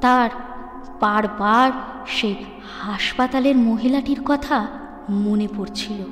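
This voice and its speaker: A woman storyteller narrating a ghost story dramatically, with deliberate, suspenseful phrasing and pauses. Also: fairly high pitch. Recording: echoey long reverb, music underneath.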